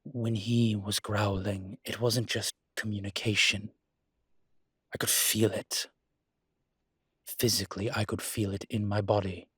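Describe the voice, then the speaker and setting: A man's voice: fairly low pitch, little pitch movement, measured pace, pauses often, fairly bright tone, slightly rough, dry recording, studio quality, full character voice. A man playing a refined incubus demon professor in an intimate audio roleplay, explaining things in a hesitant, genteel and slightly irritated but patient manner. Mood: uneasy, confiding.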